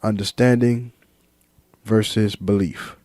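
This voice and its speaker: A man talking freely to his viewers in a reflective monologue, slow and deliberate, listing ideas one word at a time with some fillers and repeats. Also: fairly low pitch, steady tone, darkish tone, dry recording. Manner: lists ideas in measured single words. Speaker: vlogger.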